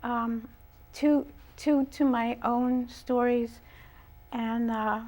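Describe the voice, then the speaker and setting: A woman talking casually as an interview guest, often pausing mid-phrase. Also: a little high, fairly even intonation, darkish tone. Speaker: guest, writer and composer.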